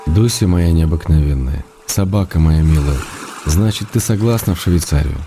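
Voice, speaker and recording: A man's voice: a bass voice, moderate pace, music in the background. An actor playing an affectionate, wry writer reading his intimate letters to his wife in a radio drama. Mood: cheerful, affectionate.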